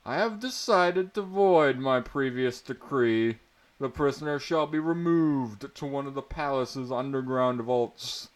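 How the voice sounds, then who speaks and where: A pompous, cruel prince from a pulp fantasy tale, as a male reader puts him on. He is fat, greasy and haughty. He declaims in a raised voice at an unhurried, stately pace. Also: medium pitch, pitched above the performer's own voice, natural voice. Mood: pompous, commanding.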